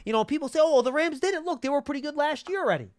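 A man's voice: very high voice, expressive, rapid-fire, no echo. A man hosting live sports talk, explaining things emphatically into a close studio mic. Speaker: sports talk show host. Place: small indoor broadcast studio. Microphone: close broadcast mic on boom arm.

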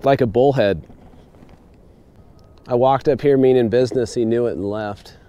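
Brief: man, mellow easygoing voice, joking fishing banter out on a boat. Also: medium pitch, moderate pace, muffled recording. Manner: joking, coaching, teasing. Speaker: fishing guide and channel host. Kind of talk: casual banter while fishing. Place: small boat on a wide river outdoors.

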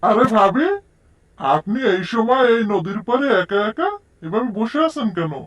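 A man plays a courteous, helpful neighbour in a cartoon. He speaks high for a man, with lively pitch and a polite, reassuring manner. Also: normal speed, recorded from a distance. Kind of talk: cartoon dub acting.

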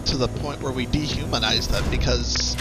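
A man's voice: mid-range voice, moderate pace, over music. A man hosting a show, commenting casually over game footage into a close desk mic, rambling and profane. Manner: profane, animated, rambling asides. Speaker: show host. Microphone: close desk microphone.

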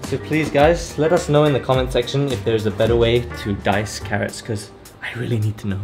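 A man's voice: mid-range voice, fairly even intonation, fairly quick. A young man showing how to cook in a small home kitchen, explaining each step in a playful, joking way, filmed up close on a handheld camera. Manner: playful, jokey, explains steps to viewers. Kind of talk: casual cooking demonstration. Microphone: camera held by partner, close. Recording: music playing over the voice. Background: background music.

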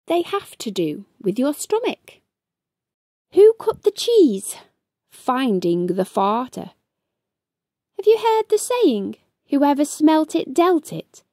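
A young adult woman reading a children's book aloud as storytime host, expressive and deliberate, speaking to young children. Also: a little high, no echo, clean studio sound. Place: small furnished room indoors.

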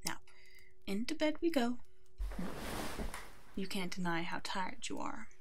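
A woman playing a kind, protective female werewolf alpha in an ASMR roleplay, speaking in a gentle, nurturing way that often slips toward a whisper. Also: mid-range voice, lively intonation, unhurried, background noise, lightly acted. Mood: tender, comforting. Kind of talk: ASMR roleplay acting, tender and soothing.

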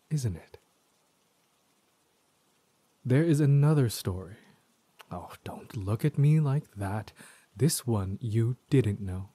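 A man playing a menacing, tormented villain spirit in a stolen body, in a theatrical, deliberate audio drama monologue that dips toward whispers. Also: on the low side, dark tone, husky, no echo, full character voice.

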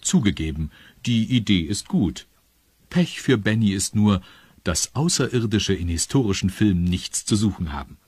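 Low, very husky male narrator. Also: expressive, normal speed, darkish tone, no echo. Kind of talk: scripted children's story narration.

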